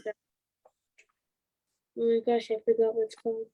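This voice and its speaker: A woman's voice: on the high side, completely flat delivery, moderate pace, no echo, muffled recording. A young woman chatting casually and hesitantly as an interview guest. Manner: hesitant, trailing off. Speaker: interview guest, stage play cast member. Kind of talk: casual chat.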